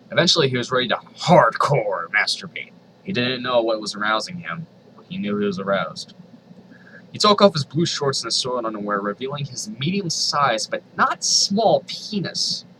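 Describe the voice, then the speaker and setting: A man's voice: mid-range voice, bright, forceful tone, slight background noise, poor audio. A man reading a story aloud dramatically, with exasperated, sardonic asides. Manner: exasperated, sardonic, swears freely. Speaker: reader of online fan fiction.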